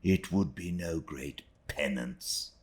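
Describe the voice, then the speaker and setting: A cold, proud woman , played by a man, sneers each word with slow, measured contempt. The voice sits a little above a man's normal pitch. It moves expressively between hushed and sharp. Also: big pitch swings. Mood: scornful.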